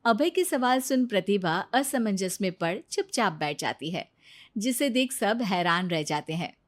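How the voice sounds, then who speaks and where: A woman narrating a scripted family moral story with expressive storytelling delivery. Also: mid-range voice, measured pace.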